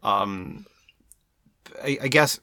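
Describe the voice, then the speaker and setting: A man co-hosting a casual film chat, speaking in a halting, mulling way with repeated false starts. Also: medium pitch, sharp tone. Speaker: podcast co-host.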